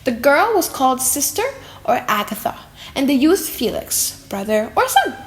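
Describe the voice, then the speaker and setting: A woman voicing a lonely, tender monster in a dramatic, slightly silly audiobook reading, theatrical and emotive with drawn-out words. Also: high voice, sing-song. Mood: yearning. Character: lonely, tender, wretched monster, eager to learn. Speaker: audiobook narrator.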